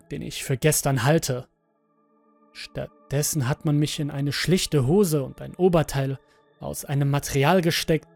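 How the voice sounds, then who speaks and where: Slow-speaking man narrating horror over music. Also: on the high side, creaky, a little mellow. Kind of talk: scripted first-person horror audio story narration. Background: background music.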